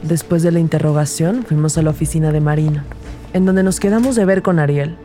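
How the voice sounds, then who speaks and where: A woman's voice: sits low, a little fast, warm tone, faint music behind, close to an everyday voice. A woman playing an observant, empathetic rookie detective who narrates an audio drama in the first person. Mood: measured, reflective.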